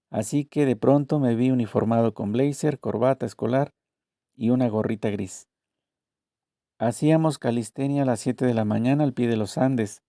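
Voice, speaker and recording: A man reading a translated interview aloud, voicing both the questions and the answers in a measured, deliberate way. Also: mid-range voice, mellow, no echo, studio quality.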